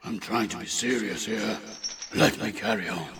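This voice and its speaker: A man's voice: lowish voice, normal speed, noisy background, close to an everyday voice. A British man playing a grumpy, wailing ghost in chains in a comic audiobook parody, shifting between doleful declaiming and irritable grumbling. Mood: irritated, scolding.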